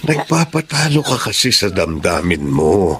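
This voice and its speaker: An actor with a Filipino accent playing a worried older father who gently scolds, in a radio drama. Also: low-pitched, big pitch swings, measured pace, a little hiss or hum, heavily acted. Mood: worried, scolding.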